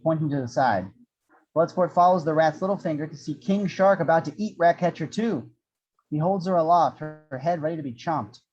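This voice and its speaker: A man narrates screenplay action lines in a scripted read-aloud style. His voice sits fairly high for a man, and the recording is slightly dull and muffled. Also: a little mellow.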